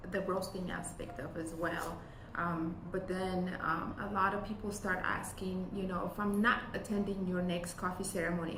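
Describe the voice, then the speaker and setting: A woman from Ethiopia, a business co-founder, talking earnestly in an interview, with many pauses and ums. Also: on the low side, background noise. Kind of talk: interview talk. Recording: roomy sound with music behind. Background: music.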